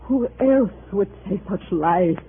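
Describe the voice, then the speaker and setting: Heartbroken older mom in old radio drama, really slow and pleading, noisy narrow vintage sound. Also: female voice, medium pitch, expressive. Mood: grieving, pleading. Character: heartbroken older mother of a grown undercover agent. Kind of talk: 1950s radio drama acting.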